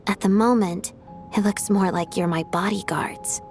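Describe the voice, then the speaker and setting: A woman voicing a composed young woman under suspicion, speaking calmly with a dry edge. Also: medium pitch, soft background music, natural voice. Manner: calm, measured, dryly wry.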